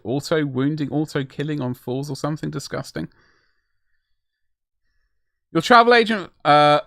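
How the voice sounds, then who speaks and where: Casual male streamer, chatty and rambling, mid-pitched voice, dry close mic. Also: fairly bright tone, dry recording. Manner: rambling, self-deprecating, answers chat. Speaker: miniature painting streamer. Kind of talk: casual live-stream chat while painting.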